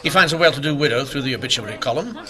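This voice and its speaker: An actor playing a stern, driven Victorian inspector in a radio mystery, with emphatic, intense delivery. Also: male voice, mid-range voice, expressive, very fast, a crowd in the background, lightly acted. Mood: urgent explaining. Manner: emphatic, intense, clipped declarations. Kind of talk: old-time radio mystery acting.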